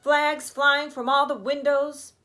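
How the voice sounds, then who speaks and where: A woman reading a children's story aloud like a teacher, with expressive emphasis and chatty questions to her listeners. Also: sits high, slow pace, a little hiss or hum. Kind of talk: animated storybook read-aloud with chatty asides.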